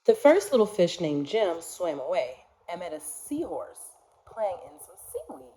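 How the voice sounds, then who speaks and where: A woman reads a children's story aloud as its narrator. She takes her time, pacing each phrase very deliberately. Her pitch rises and falls in an animated, expressive storytelling style.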